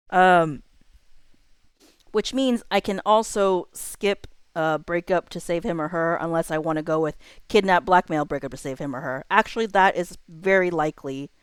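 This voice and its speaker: A woman with a fairly low, very clean and smooth voice hosts a casual livestream. She thinks aloud in a laid-back, slangy way, as if half talking to herself. The sound is close and dry, with very little room in it. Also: no echo. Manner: informal, laid-back, slangy, muttering to self while working. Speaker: livestreamer hosting a chatbot roleplay stream.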